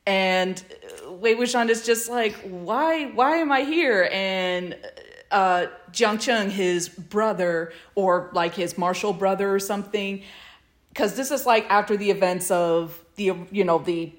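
A woman's voice: medium pitch, slow. A woman chatting casually as a podcast co-host, often hesitating as she searches for words. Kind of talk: casual chat about fan fiction.

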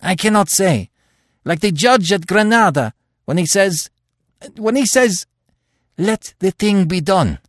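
A man's voice: high-pitched, lively intonation, measured pace, long pauses, no room sound, studio quality, pitched above the performer's own voice. A man voicing a brutish, volatile criminal workman in an audiobook, agitated and exclamatory. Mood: shaken.

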